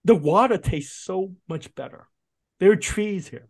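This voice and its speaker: A man in his fifties speaks as a podcast guest, his voice sitting a little high for a man. He tells stories with animated delivery, laughing often and restarting sentences as he goes. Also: a little slow. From Vietnam. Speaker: guest, food writer. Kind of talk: casual podcast interview.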